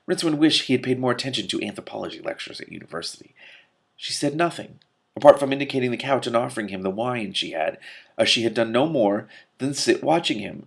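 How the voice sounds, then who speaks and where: A man's voice: mid-range voice, vocal fry. A man reading a fantasy novel aloud expressively, with casual asides of his own. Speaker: reader and commentator.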